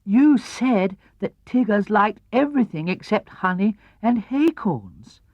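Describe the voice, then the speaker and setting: Storybook toy bear, played by a man: raised pitch, earnest, hesitant, gentle and simple-minded. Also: animated, measured pace, no room sound, lo-fi sound. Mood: puzzled.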